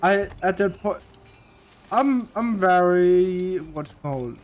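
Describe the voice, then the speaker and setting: A man's voice: high voice, animated, takes it slowly. A man chatting casually on a game stream, often groping for the right word. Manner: gropes for words, trailing off.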